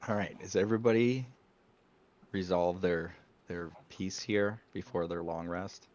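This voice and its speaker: A man with a deep voice runs a tabletop game as game master. He talks casually, and the sound is slightly dull, like voice chat. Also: fairly even intonation, a little slow, a little mellow.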